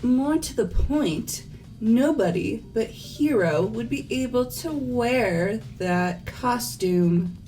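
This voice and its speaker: A woman's voice: a little high, expressive, slow, warm tone, rough recording. A woman voicing a blunt, accusing, headstrong teenage girl in a fan-voiced visual novel. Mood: suspicious. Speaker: voice actor in fan game playthrough. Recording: music under the voice.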